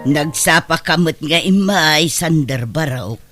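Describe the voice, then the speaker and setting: A woman's voice: sits low, lively intonation, slow, fairly bright tone, soft background music, exaggerated voice. An actress playing a caring, outspoken neighbour woman in a radio drama, concerned and ready to scold. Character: caring, outspoken older neighbour woman who employs the boy. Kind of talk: Ilocano radio drama acting.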